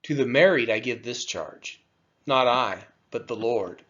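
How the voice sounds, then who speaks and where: A man reading scripture aloud from a text, with measured, emphatic phrasing. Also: medium pitch, a bit bright, dull audio. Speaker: scripture reader.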